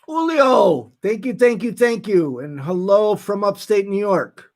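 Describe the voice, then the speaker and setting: A man's voice: high-pitched, animated, a little slow, gravelly. A man in his late fifties talking casually and candidly to livestream viewers, earnest and emphatic as he makes his points. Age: late 50s, nearly 60. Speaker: nonprofit founder hosting a livestream.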